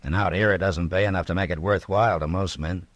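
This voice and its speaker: Radio western steady marshal, deep-toned, laconic, quietly wry with his friends. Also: fast talker, no room sound. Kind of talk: 1950s radio western acting.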